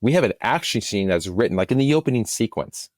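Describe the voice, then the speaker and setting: A man with a fairly low voice talks through his work as an interview guest. The sound is dry and close, and his delivery is conversational, with phrases that he often restarts. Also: expressive, fast, no echo.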